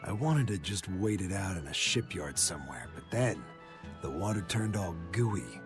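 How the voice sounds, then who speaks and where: An actor playing a rough, spooked seafarer in a video game, telling cautionary tales in a folksy, emphatic way. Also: male voice, low voice, sing-song, normal speed, over music. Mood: wary.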